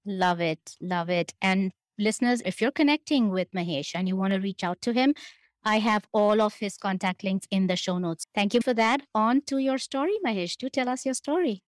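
A woman's voice: medium pitch, normal speed, no echo. A woman hosting a podcast interview, welcoming her guest and speaking directly to her listeners in an encouraging way. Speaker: podcast host.

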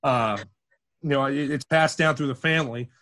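A young man with a deep, projecting voice, a campus radio sports director talking casually as a podcast guest, with many restarts and fillers. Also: moderate pace, sharp tone. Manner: rambling with frequent restarts and fillers.

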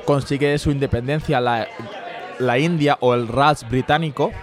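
A man's voice: medium pitch, fairly even intonation, normal speed. A man from Bangladesh, a non-native speaker whose first language is Bengali, patiently explaining history as a guest in a casual interview. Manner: patient and explanatory, with restarts and fillers. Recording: crowd noise behind.

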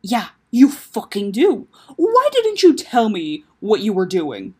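A woman's voice: a little high, sing-song, measured pace, darkish tone, breathy edge, pitched above the performer's own voice, full character voice. A woman voicing a brash, sarcastic, foul-mouthed young father who is hurt and guarded, in a story reading. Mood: angry, hurt. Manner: sarcastic, emphatic, swearing.

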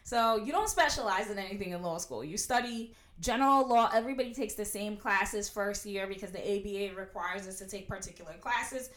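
Loud, outspoken young woman. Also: medium pitch, fairly quick, few pauses. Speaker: guest, prosecutor. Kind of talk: casual interview chat. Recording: roomy echo.

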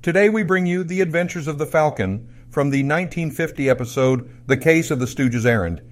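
A man's voice: on the high side, expressive, fairly quick. A man reading a scripted introduction as an announcer. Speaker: channel announcer.

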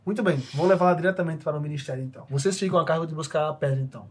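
A man's voice: fairly high pitch, racing, a little mellow, natural voice. A man playing an imperious, curt Minister of Magic in a tabletop game, commanding and dismissive. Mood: haughty.

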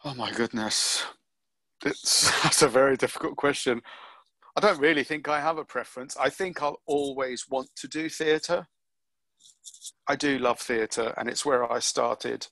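A middle-aged man talks through his career in an interview, pausing on ums and circling back to finish his thoughts. His voice has a gravelly edge, and the recording is close and dry with no room sound. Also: mid-range voice, pushed and bright, no echo. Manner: reflective, with many ums and restarts. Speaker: actor being interviewed. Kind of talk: relaxed interview answers.

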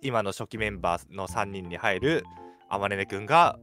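A man's voice: lowish voice, animated, normal speed, fairly bright tone, soft background music. A man in his twenties, a scriptwriter co-hosting a radio show, chatting casually about old memories. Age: 20s. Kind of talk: casual reminiscing chat.

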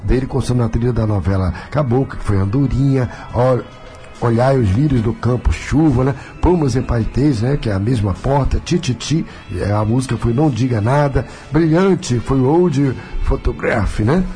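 Chatty Carioca radio host, mellow voice. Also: male voice, mid-range voice, normal speed, soft background music. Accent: Carioca Brazilian Portuguese. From Rio de Janeiro, Brazil. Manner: talkative, peppers sentences with tag questions. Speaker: radio show host and pastor. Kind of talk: chatty radio talk show hosting.

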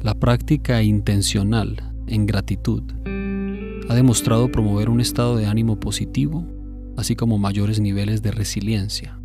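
Low-voiced man guiding a meditation over music. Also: fairly even intonation. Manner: measured, instructive, with deliberate pauses between phrases. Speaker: guided meditation narrator. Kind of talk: scripted guided meditation narration.

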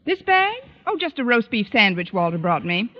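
An American actress playing a sardonic, lovestruck high school English teacher in a radio comedy, delivering dry, deadpan wisecracks. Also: female voice, moderate pace. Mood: flustered, wry. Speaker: lead actress in a radio sitcom. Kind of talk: old-time radio comedy acting. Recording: dull, noisy vintage radio broadcast recording.

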